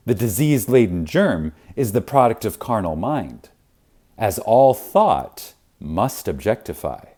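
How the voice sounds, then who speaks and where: A man narrating a spiritual audiobook in a reverent, emphatic manner with dramatic pauses. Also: fairly low pitch, darkish tone. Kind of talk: scripted audiobook reading of spiritual teaching.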